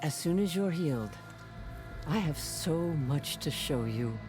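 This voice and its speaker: A man's voice: on the high side, lively intonation, unhurried, music in the background. An actor playing a grave, protective old chaplain and grandfather in a video game cutscene. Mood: grateful, tender. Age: older.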